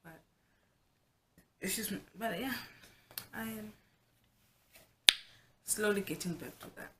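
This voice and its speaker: A woman's voice: medium pitch, takes it slowly, heavy background noise. A young South African woman whose first language is Xhosa, talking frankly and chattily to the camera in a casual home vlog, often restarting her sentences. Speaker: vlogger.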